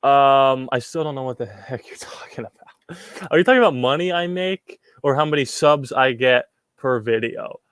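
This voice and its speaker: A man's voice: medium pitch, normal speed, fairly bright tone, clear, resonant tone, dry recording, clean studio sound. A young man from Puerto Rico hosting a casual livestream, reading chat questions and answering them in a rambling way, with repeated words and many ums.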